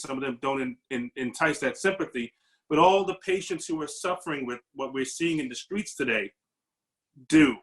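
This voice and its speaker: Forceful-voiced man speaking earnestly. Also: medium pitch, low-quality recording. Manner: earnest, reflective, with some halting restarts. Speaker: paramedic lieutenant, panel guest. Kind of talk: earnest panel discussion. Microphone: video call.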